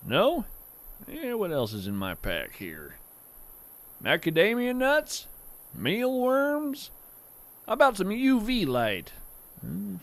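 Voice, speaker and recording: A man playing a genial, whimsical naturalist who hosts a fiction podcast, narrating in a gentle, earnest, literary manner. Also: fairly high pitch, full character voice. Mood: friendly, hospitable. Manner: measured, gentle, earnest delivery with florid wording. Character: genial, whimsical male naturalist hosting strange creatures by campfire.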